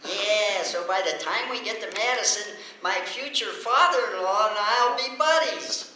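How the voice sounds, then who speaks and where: Bright, gawky teenage boy. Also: medium pitch, animated, unhurried. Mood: hopeful, awkward. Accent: American. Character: awkward, dim but hopeful teenage schoolboy. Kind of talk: old-time radio comedy acting. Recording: echoey, faintly noisy stage recording.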